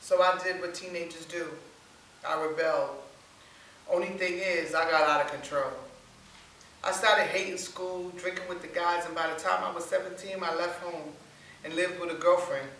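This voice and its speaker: Bright-voiced woman, low-pitched, in an echoey room. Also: fairly even intonation, normal speed. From Long Island, New York. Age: 40s. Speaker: stage reader telling a life story. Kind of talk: rehearsed dramatic monologue reading. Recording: very echoey room, distant mic, faint hiss.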